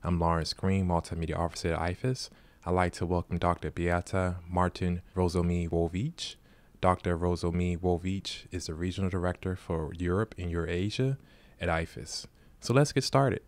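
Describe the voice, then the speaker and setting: A man hosts the interview in a low, deep voice. He reads his prepared questions formally and evenly. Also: steady tone, moderate pace, darkish tone. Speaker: interview host.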